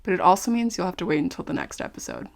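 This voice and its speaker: An actress playing a curious, courteous investigative podcaster in an audio drama, speaking conversationally. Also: female voice, fairly low pitch, huge pitch swings, very fast, creaky, exaggerated voice. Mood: confiding. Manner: courteous, conversational, addresses listeners directly. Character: curious, polite female investigative podcaster among zombie fighters.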